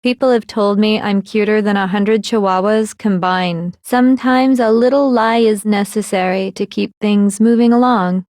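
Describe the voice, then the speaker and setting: A woman's voice: mid-range voice, fairly even intonation, moderate pace, hardly any pauses, darkish tone, dry recording, studio quality, natural voice. A woman voicing a smug, coldly analytical teenage girl villain in an anime video game, composed and condescending as she explains herself. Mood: playful, smug.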